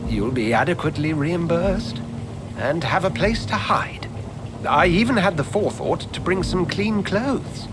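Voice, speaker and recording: An actor playing a genteel, eager British hospital administrator and physician, urbane and theatrical, in video game dialogue. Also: male voice, a little high, expressive, unhurried, background noise. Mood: persuasive. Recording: loud music and ambience behind.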